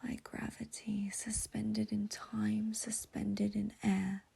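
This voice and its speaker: Female meditation guide, soothing and intimate, very breathy whisper with a soft, mellow tone. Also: medium pitch, completely flat delivery. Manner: soothing, slow, gentle, intimate.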